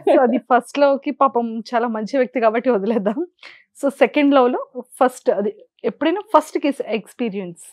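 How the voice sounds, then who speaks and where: A woman's voice: a little high, fast, darkish tone, dry recording. A woman with a Telugu Indian accent hosting a casual interview and putting questions to her guest. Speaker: interview host.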